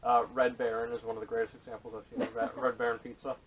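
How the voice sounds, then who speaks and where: A man's voice: medium pitch, monotone, fast talker. A man chatting casually on a podcast panel with blunt, contrarian opinions, recorded through a camera microphone. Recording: distant camera audio, noisy.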